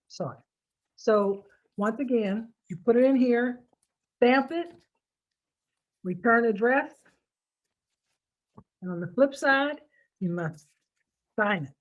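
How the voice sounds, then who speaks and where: A woman's voice: medium pitch, muffled recording. Emphatic American woman giving step-by-step instructions. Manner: emphatic, repeats key points for stress. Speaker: presenter of a voter instruction video. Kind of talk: informal step-by-step public instruction.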